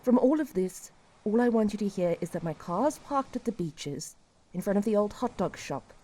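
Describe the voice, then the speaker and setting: A woman playing a despairing, resigned helpline caller in an audio drama, speaking wearily and tenderly in halting short phrases. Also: medium pitch, animated, dark tone, close to an everyday voice. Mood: bleak, urgent.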